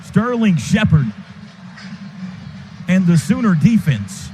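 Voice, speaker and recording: High-pitched man calling football live. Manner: excited, clipped rapid-fire calls. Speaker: radio play-by-play announcer. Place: stadium radio booth. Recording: noisy stadium broadcast booth.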